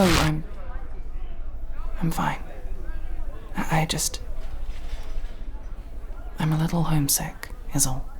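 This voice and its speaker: A woman playing a gentle, homesick alien in an ASMR sci-fi roleplay, speaking softly and hesitantly, often near a whisper. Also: low-pitched, creaky, a little hiss or hum, heavily acted. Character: homesick female alien, gentle last survivor of her world.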